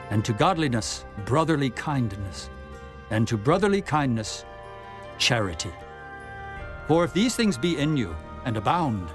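A man giving a dramatized scripture reading in a solemn, measured manner with emphatic phrasing. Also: fairly high pitch. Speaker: Bible narrator. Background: background music.

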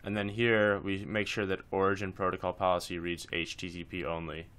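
A man's voice: low voice, flat delivery, a little fast, a bit bright, clean tone. A man presenting a casual screencast tutorial, talking informally through each step as he instructs.

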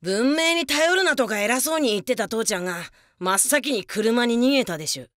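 A woman's voice: fairly high pitch, animated, brisk, fairly bright tone, no echo, clean studio sound. A woman voicing a blunt, mischievous little cartoon boy with a childish lisp. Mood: innocent, blunt. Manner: innocently blunt. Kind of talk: animated comedy acting.

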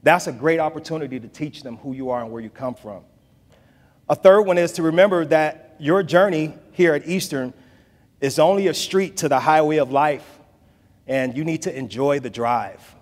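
A man's voice: on the high side, normal speed. A man in his forties giving a conversational keynote speech from a podium in a large hall, repeating phrases for emphasis. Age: 40s. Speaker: keynote speaker. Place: large hall with bookshelves, audience seated. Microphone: podium mic, filmed from the back of the room. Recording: long hall reverb.

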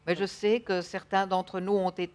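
A woman's voice: mid-range voice, little pitch movement, moderate pace, a little mellow. A woman in her thirties interpreting a sermon live, feeling for her words at times. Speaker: church interpreter. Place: church stage, indoors.

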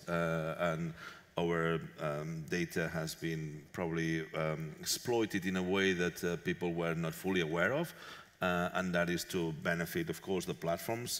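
A man moderating a conference panel on stage, speaking haltingly with many filler sounds into a headset mic. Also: low-pitched, a bit bright. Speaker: moderator and event organizer. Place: large conference hall stage. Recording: long reverb of a large hall.

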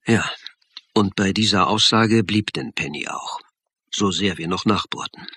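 A man's voice: on the low side, unhurried, bright, forceful tone, husky, no room sound, heavily acted. An actor playing a brooding, suspicious police academy lecturer who tells his story in a German crime radio play, dry and wry. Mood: reflective, dry. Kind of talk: radio play narration and dialogue.

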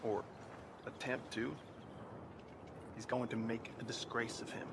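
A man's voice: medium pitch, level tone, unhurried, vocal fry, background noise. An actor playing a concerned army man confiding grim intrigue in a video game drama, urgent in manner. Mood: urgent, worried.

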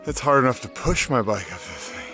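A man in his forties talks cheerfully to an action camera while out on a mountain dirt road, keeping it chatty and upbeat. His voice sits at an ordinary middle pitch. Faint music plays softly underneath. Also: lively intonation, a little fast. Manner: chatty and upbeat, talks to animals, playful sing-song bits. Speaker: bikepacking vlogger. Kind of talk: casual vlog narration to camera. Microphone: action camera on the bike and held at arm's length.

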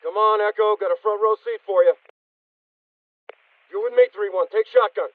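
An actor playing an intense, profane American Marine, clipped and urgent, in video game battle chatter. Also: male voice, high-pitched, normal speed, no room sound, phone-quality sound, natural voice. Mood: focused.

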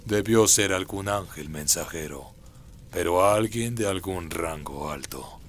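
A scheming demon henchman played by a man counsels his lord in a radio drama. The voice is very low, over faint background noise. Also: a little slow.

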